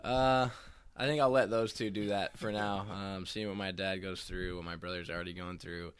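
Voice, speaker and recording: A young man with a low voice answers interview questions in an even, flat delivery. He talks haltingly and restarts his phrases, repeating words as he goes. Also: noisy background. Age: young adult. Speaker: college basketball player.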